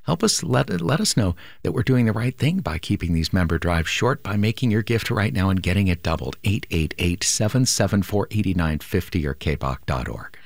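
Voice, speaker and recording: A man hosting a classical music radio station, making a calm, measured pledge drive appeal from a studio microphone. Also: low voice, creaky, no echo. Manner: calm, measured, sometimes drops to a near whisper.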